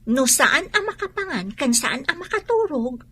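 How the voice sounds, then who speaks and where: High, animated, probing woman. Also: moderate pace. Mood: concerned. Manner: probing, questioning. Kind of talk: Ilocano radio drama acting.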